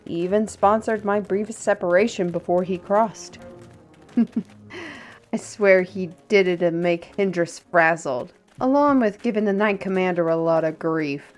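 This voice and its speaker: A woman's voice: medium pitch, measured pace, a little mellow, close to an everyday voice. A woman playing a talkative, worldly steward in a fantasy audio roleplay, with a wry, teasing and chatty manner. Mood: reflective. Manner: chatty, teasing, addresses listeners as lass and lad. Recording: music behind the voice. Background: music.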